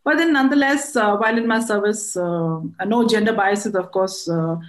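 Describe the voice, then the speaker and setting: A woman's voice: mid-range voice, normal speed, echoey room. A middle-aged woman with an Indian accent giving formal, respectful answers as a guest in a conversational interview. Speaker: interview guest, air force air traffic control officer.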